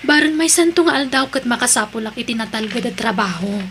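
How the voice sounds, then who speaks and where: A woman's voice: a little high, expressive, fast, a bit bright, slight background noise. A woman playing a polite, earnest young junior employee in an audio drama, speaking with an Ilocano Filipino accent.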